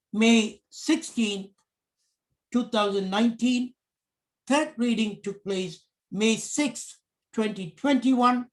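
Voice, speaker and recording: A middle-aged man from Sri Lanka with a Sri Lankan accent, giving a prepared public talk in slow, deliberate phrases. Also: sits high, low-quality recording. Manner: slow, deliberate, measured phrasing in short pieces. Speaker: commentator.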